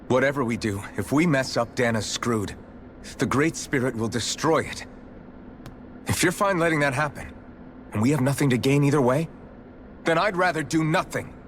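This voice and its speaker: A man's voice: mid-range voice, moderate pace, pauses often, a bit bright, a little hiss or hum, close to an everyday voice. A man playing a stubborn, protective young hero in a video game, speaking bluntly and earnestly. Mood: angry, impassioned. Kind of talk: scripted video game voice acting.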